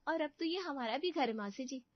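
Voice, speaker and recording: A woman's voice: sits high, animated, a little fast, no echo, muffled recording. A woman voicing a respectful, dutiful young daughter-in-law in a family cartoon, speaking earnestly. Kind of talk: Hindi family cartoon story voice acting.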